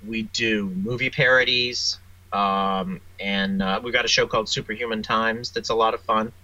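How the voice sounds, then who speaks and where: A man's voice: fairly low pitch, steady tone, normal speed, bright, forceful tone, no room sound, dull audio. A man giving casual interview answers as a guest author, rambling and hesitant in his delivery. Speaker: interview guest, author and audio drama producer.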